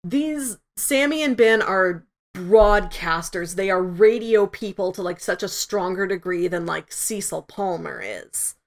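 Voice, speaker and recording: A woman's voice: medium pitch, measured pace, hardly any pauses. A woman hosting a podcast, explaining her opinions in an enthusiastic, casual conversational way. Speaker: podcast host. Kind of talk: casual review discussion.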